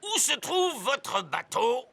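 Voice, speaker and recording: A man playing a teasing old grandpa dog skipper in a French children's cartoon, with a gravelly, raspy voice and a needling manner. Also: very high voice, big pitch swings, takes it slowly, pushed and bright, exaggerated voice.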